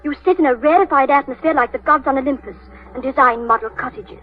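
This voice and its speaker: Actress as a high, spirited young lady. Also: fast talker, lightly acted. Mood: ardent, frank. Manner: lively, teasing, theatrical. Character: spirited, candid young English society lady of nineteen. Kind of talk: 1930s radio drama acting. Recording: tinny, band-limited 1930s radio recording.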